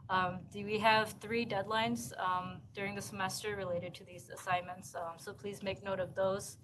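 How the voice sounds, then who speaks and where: A woman giving course announcements as an instructor in a seminar room, speaking in a halting, hesitant way. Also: medium pitch, flat delivery, crowd noise. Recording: noisy room recording.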